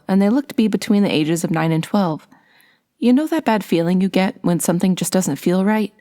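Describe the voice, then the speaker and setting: A woman's voice: on the low side, darkish tone. A woman reading a first-person scary story as scripted narration.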